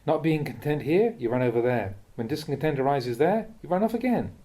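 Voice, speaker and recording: A man reading a Buddhist teaching aloud as a monk, in a measured, deliberate way with emphasis on key phrases. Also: fairly high pitch, lively intonation, warm tone. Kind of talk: read-aloud dharma talk.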